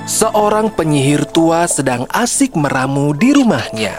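A woman storyteller narrates a children's tale. Her voice sits fairly low and moves with lively intonation. Faint music plays behind her. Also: measured pace.

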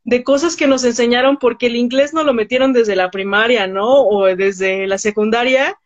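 A woman hosts a relaxed interview in a high register. She speaks hesitantly and restarts her sentences often. The recording sounds dull and lo-fi. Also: moderate pace. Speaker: interview host. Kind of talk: casual interview chat.

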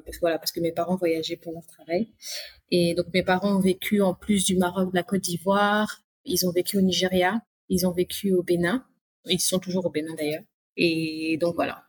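A woman's voice: fairly low pitch, husky. A woman in her late thirties, a guest in a casual interview, chatty and rambling with many fillers and restarts. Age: late 30s. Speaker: podcast guest.

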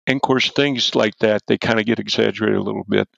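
Gravelly older man telling a story loudly. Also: on the low side, fast talker, dry recording. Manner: loud, animated storyteller who chuckles. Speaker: guest, former football coach. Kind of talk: storytelling in a podcast-style interview. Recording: dull, dry lo-fi audio.

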